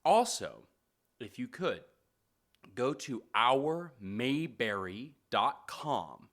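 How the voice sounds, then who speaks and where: Bright-voiced man making a casual appeal. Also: medium pitch, sing-song, extremely slow. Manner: candid, loose, chatty with fillers. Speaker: sound designer making a donation appeal.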